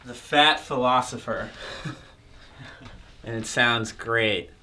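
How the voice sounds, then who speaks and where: A young man, a bassist and co-producer, talking easily with lots of fillers in a small recording studio. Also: takes it slowly, bright, forceful tone, a little hiss or hum. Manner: easygoing, lots of "like" and "you know". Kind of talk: casual studio chat.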